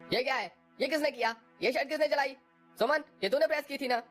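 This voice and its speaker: A woman's voice: on the low side, very animated, brisk, fairly bright tone, soft background music. A woman voicing an impatient, contemptuous husband in a cartoon. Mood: demanding. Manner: contemptuous, demanding. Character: harsh impatient husband, demanding man.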